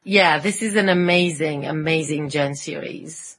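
A woman's voice: low-pitched, a little slow, fairly bright tone, breathy edge. A woman chatting casually and enthusiastically on a fandom podcast, explaining things in an informal way. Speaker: podcast panelist and audiobook fan reader.

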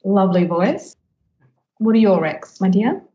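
A woman's voice: medium pitch, animated, measured pace, warm tone. A woman hosting a podcast in casual chat, with a giggly way of talking that often breaks into laughter. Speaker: podcast host. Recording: slightly dull, echoey room.

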